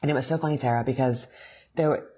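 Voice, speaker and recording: Deep-voiced woman telling animated stories. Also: fast talker. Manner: animated storyteller, restarts sentences. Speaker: co-host. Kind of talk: casual morning show chat.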